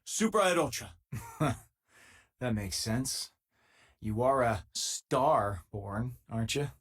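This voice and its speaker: A man playing a loyal, easygoing former miner and spaceship crewmate in a video game, with a husky, casual, wry delivery. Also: medium pitch, animated, slow pace, heavily acted. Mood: friendly, reflective. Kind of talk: video game companion dialogue.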